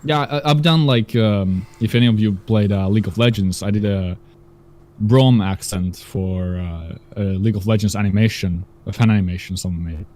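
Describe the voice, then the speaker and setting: A young man chats on a live stream. his voice sits a little low, and he rambles as he gropes for words, leaning on "like" and "um" along the way. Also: normal speed, darkish tone. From Russia. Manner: rambling, searches for words with many fillers. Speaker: guest. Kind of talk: casual stream chat.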